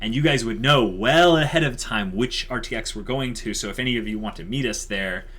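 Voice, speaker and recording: A man's voice: medium pitch, a little fast, a bit bright. A man co-hosting a YouTube channel, chatting casually and unscripted in an enthusiastic, emphatic way, repeating himself for emphasis.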